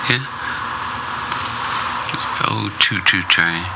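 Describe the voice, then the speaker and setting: Casual male co-host, very low and gravelly, drawling slang, noisy home recording. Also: very slow. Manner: laid-back, slangy, drawling. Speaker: podcast co-host. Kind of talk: casual chat.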